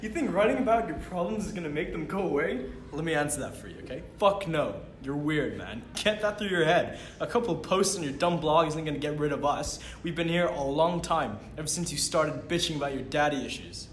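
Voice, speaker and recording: Man as taunting imaginary teen boy, brash, belittling, fairly high voice, drama read-through, echoey room. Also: animated, a little fast, hardly any pauses, natural voice. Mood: taunting, scornful. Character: taunting, foul-mouthed male figment, imaginary teenage tormentor. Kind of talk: script table read. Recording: roomy recording with background noise.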